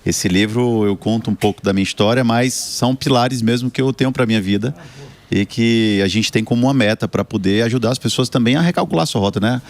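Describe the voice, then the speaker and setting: A man's voice: medium pitch, a little fast. A man in his forties from Brazil, a guest author talking earnestly about his book and life, often ending with "né?". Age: 40s. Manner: earnest, motivational, many "né?" tags. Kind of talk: casual talk show chat.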